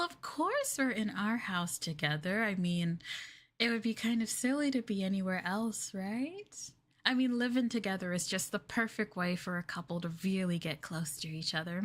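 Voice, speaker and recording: A woman playing a clingy, sweetly possessive young girlfriend in an ASMR roleplay, with a coy, hesitant, coaxing delivery. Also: on the high side. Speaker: streamer performing ASMR roleplay.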